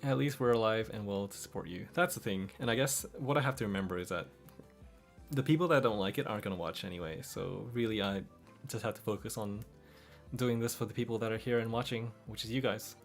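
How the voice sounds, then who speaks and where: Low gravelly man, mixed Australian-American accent. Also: fairly quick. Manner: relaxed, laughs often, trails off softly. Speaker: streamer. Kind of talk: casual late-night chat reading comments. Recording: faint background music.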